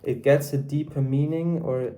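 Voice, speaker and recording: A man's voice: mid-range voice, fairly even intonation, moderate pace, warm tone. Hesitant Swiss German teen boy. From Switzerland. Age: teens, 16. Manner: hesitant, with many restarts and repeated words. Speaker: book vlogger. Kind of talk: casual unscripted book review.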